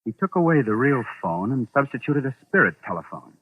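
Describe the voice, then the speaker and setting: Thin tinny old radio sound, shrewd bossy mystery guy, smooth voice, very deliberate. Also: mid-range voice, very animated. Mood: explaining, ominous. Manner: authoritative, knowing, deliberate. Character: shrewd, commanding man investigating a sinister mystery. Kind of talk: 1940s radio suspense acting. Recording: muffled old radio drama recording, phone-like.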